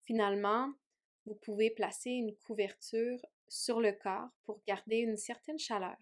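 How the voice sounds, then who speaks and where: A woman yoga teacher leading a guided meditation in a gentle, soothing way with long pauses. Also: on the high side, steady tone. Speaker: yoga teacher guiding a relaxation.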